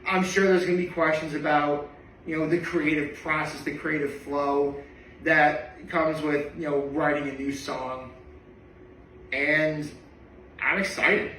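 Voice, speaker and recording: Chatty bright-voiced tenor man. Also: fairly high pitch, flat delivery, a little slow, reverberant, far from the mic, a little hiss or hum, low-quality recording. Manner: chatty and rambling, with many asides. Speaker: daily vlogger. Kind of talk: casual reaction vlog monologue.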